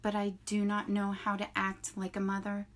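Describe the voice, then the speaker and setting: A woman's voice: medium pitch, monotone, moderate pace, natural voice. Woman doing a polite, literal robot. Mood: plain. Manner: formal, plain-spoken.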